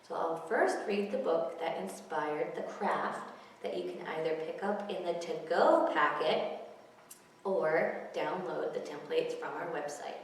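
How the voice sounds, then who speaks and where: A woman's voice: low-pitched, a little slow. A woman hosting a children's story time, reading picture books aloud with expression and chatting simply about them. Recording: very echoey, distant mic, faint noise.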